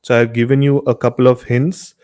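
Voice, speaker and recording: A man's voice: mid-range voice, level tone, fairly quick, darkish tone, smooth. A man with an Indian accent informally hosting a horror show and telling stories, often repeating words and phrases. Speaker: host of a horror radio show.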